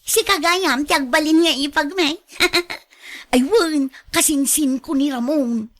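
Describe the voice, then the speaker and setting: High-pitched coaxing young Ilocano woman, acted out by a woman. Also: slow pace. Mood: breezy, coaxing. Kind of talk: Ilocano radio drama acting.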